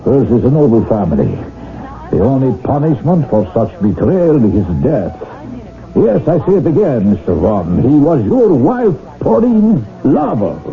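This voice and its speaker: A menacing Italian gentleman killer, formal and insinuating, with pitch that rises and falls dramatically. The sound is tinny, like an old radio broadcast. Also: very animated, unhurried. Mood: coldly vengeful.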